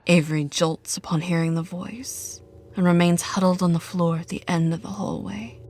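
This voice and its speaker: A woman narrating a scripted horror story with a measured, dramatic delivery. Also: lowish voice, steady tone, a little mellow. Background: music.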